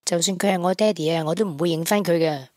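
A woman's voice: fairly low pitch, fast talker, a little mellow, dry recording, clean studio sound, close to an everyday voice. A woman with a Hong Kong Cantonese accent voicing an earnest teenage boy in a radio drama, who talks in a stammering, sulky way. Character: earnest teenage boy, devoted to his mother.

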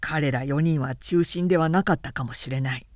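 A woman voicing an outspoken, indignant samurai man in a period-novel audiobook, forceful and insistent in formal speech. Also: deep voice, moderate pace, dry recording, studio quality, voice pushed down, lightly acted. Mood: bitterly indignant.

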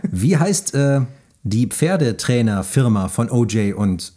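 A man's voice: medium pitch, sing-song, slow, mellow. A man hosting a podcast, chatting casually about films and running a quiz. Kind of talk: casual film chat.

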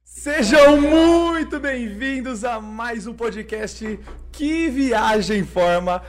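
A man's voice: very high-pitched, lively intonation, drawn-out words, crowd noise. A man with a Brazilian accent chatting casually as a podcast co-host. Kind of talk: casual chat.

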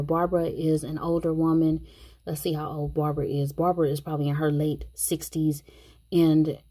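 Woman, low husky voice, mellow tone, casual opinionated commentary. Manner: thinking out loud, frank and opinionated. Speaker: show reviewer.

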